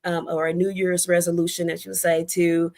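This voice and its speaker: A woman's voice: fairly low pitch, little pitch movement, fairly quick, a little mellow. A middle-aged American woman talking casually as an interview guest, in a chatty, storytelling way with conversational asides. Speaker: guest, debut novelist.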